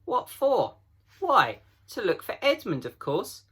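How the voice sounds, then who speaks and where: A man's voice: sits high, wildly swooping pitch, slow pace, a bit bright, husky, pitched above the performer's own voice, full character voice. A man voicing a blunt, grave male beaver in a storybook reading, with weighty, urgent delivery. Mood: brisk.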